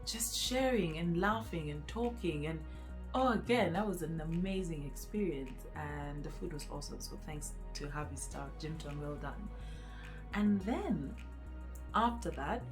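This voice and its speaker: A middle-aged Kenyan woman with a low-pitched voice shares personal stories as a vlog host. She talks conversationally and expressively, addressing the listener directly, with music faintly present. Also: creaky. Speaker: vlog host, event planner. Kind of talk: casual personal storytelling.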